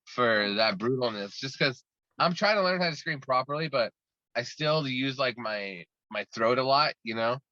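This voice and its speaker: Rambling guy in an interview, bright forceful voice, muffled lo-fi sound. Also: medium pitch, expressive, normal speed, no echo. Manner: talkative and rambling, many restarts. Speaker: guest musician. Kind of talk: casual interview chat.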